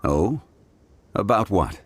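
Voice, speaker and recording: A man voicing an ancient, solemn god in human guise for a video game, speaking in a measured, formal, grave manner. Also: fairly low pitch, expressive, slight background noise. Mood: calm, courteous. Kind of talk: video game character acting.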